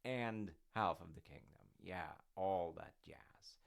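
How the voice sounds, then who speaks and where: A man's voice: deep voice, takes it slowly, creaky. A man retelling a fairy tale in a casual, rambling way with informal, wry asides. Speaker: storyteller dad character in audio roleplay.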